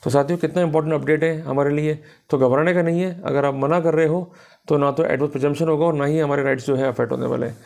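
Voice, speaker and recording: A man's voice: on the high side, fairly even intonation, fast talker, vocal fry, a little mellow. A man with an Indian accent giving an explanatory law lecture in a didactic way, addressing his listeners directly and switching into English legal terms.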